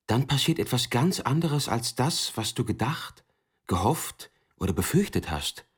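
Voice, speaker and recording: A man giving a scripted literary narration in a measured, formal way, with a husky voice. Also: medium pitch, big pitch swings. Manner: measured, formal second-person storytelling. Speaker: author narrator.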